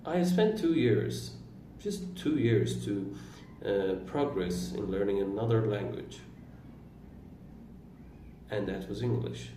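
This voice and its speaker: A man in his twenties whose first language is Turkish, talking slowly about his own life in a halting way, pausing and correcting himself. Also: on the low side, lively intonation, warm tone. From Iran. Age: 20s. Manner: slow, halting, with pauses and self-corrections. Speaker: vlogger. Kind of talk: reflective personal monologue. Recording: roomy, distant mic with faint room noise.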